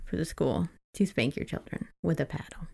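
A woman's voice: deep voice, moderate pace, vocal fry, mellow, breathy edge. An American woman co-hosting a podcast, talking casually with an irreverent, unfiltered manner. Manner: irreverent, freely swearing. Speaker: podcast co-host. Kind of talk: casual chat and book recap.

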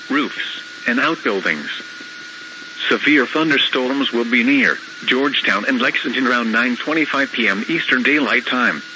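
Synthetic male weather radio voice, clear noise. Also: mid-range voice. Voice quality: synthetic text-to-speech voice. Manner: formal, measured, flatly procedural. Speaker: weather radio alert announcer. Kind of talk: scripted severe weather bulletin reading. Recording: noisy weather radio broadcast recording.

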